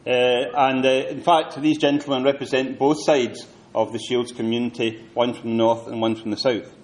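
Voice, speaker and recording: A man's voice: medium pitch, flat delivery, moderate pace, darkish tone. A man hosting a talk, introducing the guest speakers to the audience with a hesitant delivery. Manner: hesitant, many fillers and restarts. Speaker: event host introducing speakers.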